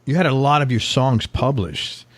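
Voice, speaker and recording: A man's voice: medium pitch, moderate pace. A man interviewing a guest, asking casual questions and reacting with short affirmations.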